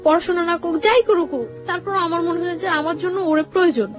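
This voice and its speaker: A young woman with a high voice and a Bangladeshi accent recounts a personal story as an interview guest. She speaks haltingly, circling back over her words, with music playing behind her. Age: young adult. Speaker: guest telling own life story. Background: background music.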